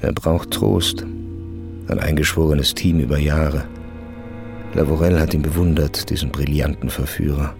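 An actor playing a terse, sober police chief of a drug squad in a German crime radio drama. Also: male voice, extremely low pitch, steady tone, measured pace, creaky, full character voice. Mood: grim, brooding. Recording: music behind the voice.

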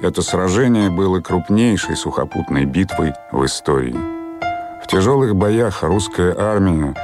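Deep male narrator for scripted war-history drama, prominent music underneath. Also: expressive, moderate pace. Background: instrumental music.

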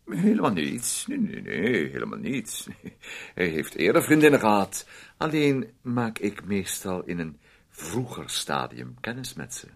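A fussy, sardonic brother in a radio drama. His voice is husky and swings widely in pitch, halting over small interjections as he condescends and quietly controls. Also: wildly swooping pitch, heavily acted. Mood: sardonic, condescending. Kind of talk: radio play acting.

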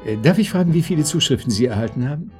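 A man's voice: on the high side, lively intonation, rapid-fire, dark tone, faint music behind, natural voice. An actor playing a nervous, courteous, flirtatious man in a radio drama, hesitant and stammering, with speech. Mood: charming, flirtatious. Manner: hesitant, stammering, formally polite. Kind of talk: German radio drama acting.

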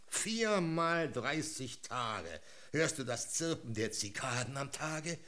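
A man's voice: mid-range voice, bright, forceful tone. A man playing a fervent, taunting frontier storyteller in a German radio drama, declaiming theatrically. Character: fervent male frontier storyteller luring listeners westward.

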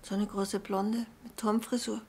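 An actress playing an agitated, suspicious middle-aged mother in a radio drama, with a raspy voice and a defensive, stubborn manner. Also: medium pitch, little pitch movement, a little slow, mellow. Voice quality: raspy, creaky, breathy. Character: agitated, suspicious mother at her wits' end.

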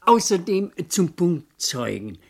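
Elderly pedantic lawyer, radio play, husky, swinging intonation, unhurried. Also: male voice, high voice, very animated, full character voice. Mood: dry, explanatory. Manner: slow, precise, hesitant murmurs. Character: elderly Scottish solicitor, dry, unhurried, pedantic. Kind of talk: German radio play acting.

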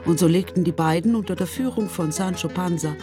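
A woman's voice: fairly low pitch, a little fast, over music. A woman narrating a radio drama in a scripted storytelling style.

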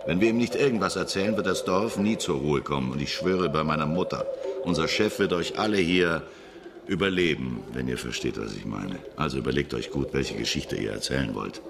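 An extremely deep, gravelly policeman talks bluntly and cajolingly. He sounds plain-spoken and weary in this radio drama scene, set against loud birdsong and outdoor ambience. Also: brisk, noisy background. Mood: warning, cajoling. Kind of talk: audio drama acting.

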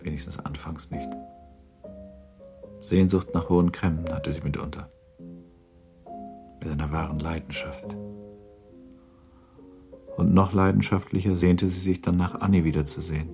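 A man narrating a literary radio drama in a measured, formal storytelling manner. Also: low-pitched, fairly even intonation, creaky, music in the background.

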